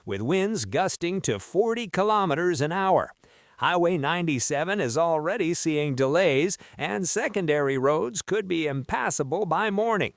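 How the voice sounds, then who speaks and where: A man plays a friendly 1970s local radio anchor. His fairly high voice moves in a very lively news-reading pattern, close and dry. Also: no echo.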